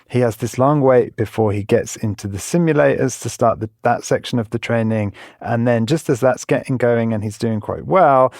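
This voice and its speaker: A man's voice: lowish voice, a little mellow, no echo. Man explaining a story, dry close sound. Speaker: reporter being interviewed.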